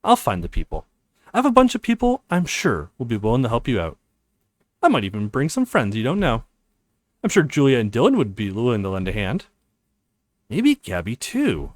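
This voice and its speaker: A man's voice: medium pitch, wildly swooping pitch, normal speed, no room sound, clean studio sound, voice pushed down. A man voicing an earnest, reassuring young male college student in a visual novel, coaxing and confident.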